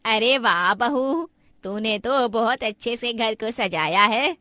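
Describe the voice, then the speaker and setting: A woman's voice: fairly high pitch, slow pace, sharp tone, no echo, studio quality. A woman voicing an opinionated older female guest, emphatic and moralizing, in a cartoon story. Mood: admiring.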